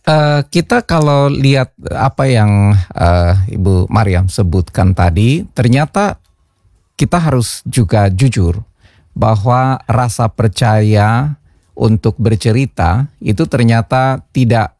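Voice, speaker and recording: A man with a Kupang accent hosting a radio talk show, measured and affirming, guiding the guests. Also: mid-range voice, expressive, darkish tone, dry recording, clean studio sound. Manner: measured, affirming with frequent backchannels.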